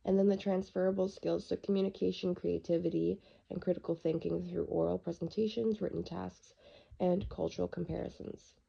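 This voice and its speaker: A young woman who is losing her voice, with a raspy tone, reading through her unit plan for a class presentation. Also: fairly low pitch, steady tone, brisk, warm tone. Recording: dull lo-fi recording.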